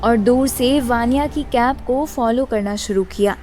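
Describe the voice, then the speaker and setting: High-voiced woman narrating an audio drama. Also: measured pace, warm tone, soft background music.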